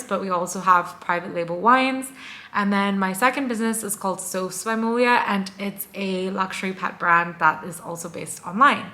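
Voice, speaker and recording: A woman's voice: mid-range voice, sharp tone. A woman in her twenties from Hong Kong, talking to camera in a chatty, bubbly way as she gives tips. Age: 20s. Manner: bubbly, chatty, lots of "like" and "guys". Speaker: vlogger and entrepreneur. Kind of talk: casual talk to camera.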